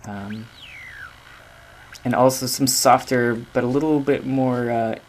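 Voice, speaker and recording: A man's voice: medium pitch, fairly even intonation, slow, a little hiss or hum, poor audio. A man giving an informal walkthrough of a demo, speaking hesitantly as if thinking aloud. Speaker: game audio designer presenting own project.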